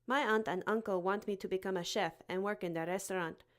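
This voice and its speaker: A woman playing a cheeky, matter-of-fact schoolboy with a deadpan manner in a comic audio drama. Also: mid-range voice, a little fast, lightly acted. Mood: deadpan, chatty. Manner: deadpan, nonchalant.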